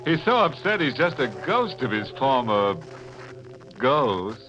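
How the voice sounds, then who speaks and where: A man playing a ghoulish, mock-genial host of an old radio horror show, with a drawn-out theatrical delivery. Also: mid-range voice, big pitch swings. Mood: ghoulish glee. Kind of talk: 1940s radio horror narration. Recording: dull old radio recording with music.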